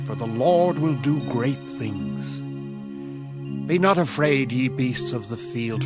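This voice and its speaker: A man narrating scripture dramatically, in a solemn, declamatory manner with measured phrasing. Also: mid-range voice.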